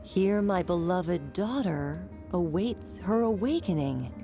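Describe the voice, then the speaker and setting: A woman playing a caring, determined mother and curator in a video game, measured and thoughtful. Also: lowish voice, animated, warm tone, soft background music. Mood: wondering, gentle. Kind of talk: video game cutscene acting.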